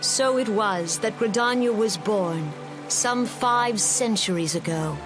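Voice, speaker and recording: An actress playing a solemn female forest leader giving a measured, formal ceremonial speech in a fantasy game. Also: mid-range voice, natural voice. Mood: solemn, reverent. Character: gentle, solemn female forest elder leader addressing her people. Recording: music and rain behind the voice.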